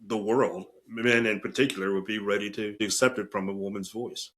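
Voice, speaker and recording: A man's voice: fairly low pitch, fairly even intonation, a little fast, a bit bright. A man hosting a podcast interview in a conversational style.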